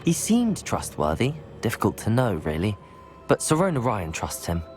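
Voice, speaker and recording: A man's voice: lowish voice, wildly swooping pitch, moderate pace, faint music behind. An actor playing a polite, earnest young male wizard student in a video game, speaking with composure.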